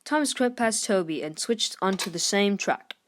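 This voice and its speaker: A woman's voice: mid-range voice, normal speed. Woman reading a children's storybook aloud. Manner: expressive, lifts voice for character dialogue. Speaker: storybook reader. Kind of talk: scripted children's read-aloud narration.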